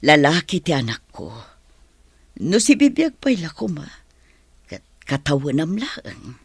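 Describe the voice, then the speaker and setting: A woman plays a kindly madam in a village radio drama, motherly and considerate. Her low voice is husky and swings widely in pitch as she looks after others despite her ailments. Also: big pitch swings, unhurried, no room sound, exaggerated voice. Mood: wistful. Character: kindly, ailing madam who lost her son. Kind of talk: Ilocano radio drama acting.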